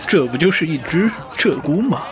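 An actor playing a talkative, excitable young nobleman in a Chinese audio drama, cheeky and exclamatory in manner. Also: fairly high pitch, normal speed, over music, close to an everyday voice. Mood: indignant, animated. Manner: chatty, exclamatory, teasing.

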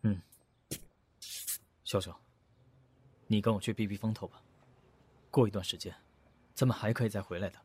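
Man as a low, husky, grave protector. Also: fairly even intonation, moderate pace, pauses often, darkish tone, dry recording. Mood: grave, pleading. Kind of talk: fantasy audio drama acting.

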